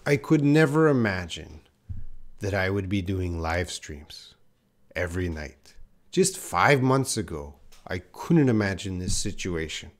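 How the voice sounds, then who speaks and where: A man, an English teacher, chatting on a live-stream class and answering viewers' comments as he reads them. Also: lowish voice. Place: in Korea.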